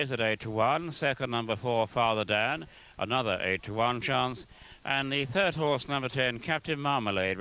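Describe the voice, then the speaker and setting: A man reading out horse racing results in a formal, measured, scripted manner. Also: medium pitch, pushed and bright, poor audio.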